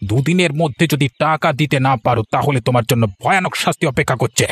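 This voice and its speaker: A man playing a stern, scornful old village moneylender in a cartoon, haughty and threatening, with a husky voice. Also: mid-range voice, quick pace, a bit bright, dry recording. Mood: angry, threatening. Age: older. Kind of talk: Bengali cartoon voice acting. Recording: music behind the voice.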